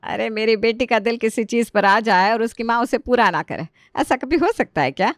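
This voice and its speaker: A woman's voice: on the high side, moderate pace, no echo, studio quality, natural voice. Woman playing a doting, effusive middle-aged mother-in-law. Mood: doting, reassuring.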